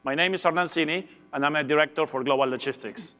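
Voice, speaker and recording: A man's voice: on the high side, fast talker, bright, forceful tone. Argentine-accented man presenting in a hall. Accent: Argentine Spanish. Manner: upbeat, with small restarts. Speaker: logistics director presenting. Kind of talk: conference presentation. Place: large conference hall. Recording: long reverb of a big hall.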